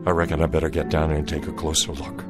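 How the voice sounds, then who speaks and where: An actor playing a stern, resolute Confederate cavalry soldier in a radio drama, with a folksy, commanding Southern US accent. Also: male voice, very deep voice, level tone, fast, over music. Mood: wary, certain.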